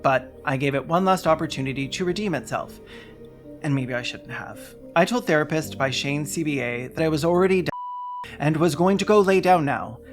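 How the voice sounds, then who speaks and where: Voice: medium pitch, brisk, soft background music. A sarcastic, indignant video essayist who swears while delivering scripted investigative narration.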